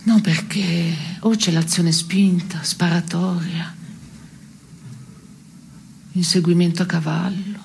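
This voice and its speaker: An actress playing a dry, cynical woman in an absurdist stage dialogue, laconic and deadpan. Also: fairly low pitch, vocal fry. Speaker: stage actor. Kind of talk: absurdist two-hander theatre dialogue. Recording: noisy radio broadcast of theatre piece.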